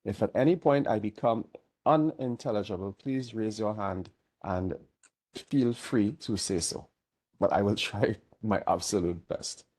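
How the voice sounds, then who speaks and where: A man's voice: lowish voice, measured pace, darkish tone, slightly rough. A man chairing an online meeting, a little nasal from a cold, easygoing and chatty while keeping his diction careful.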